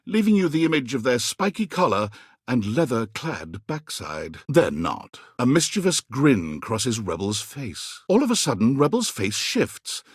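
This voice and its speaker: A man playing a wry, theatrical video game narrator, husky and measured, with a dryly mocking edge. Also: mid-range voice, big pitch swings, dry recording, clean studio sound. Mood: wry, dramatic.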